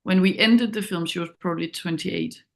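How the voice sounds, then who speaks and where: A woman's voice: fairly low pitch, brisk, vocal fry. A woman from Denmark with a Danish accent, speaking as a film director in an interview, reflective and searching for words. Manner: hesitant, thoughtful, searching for words. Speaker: documentary director, interview guest.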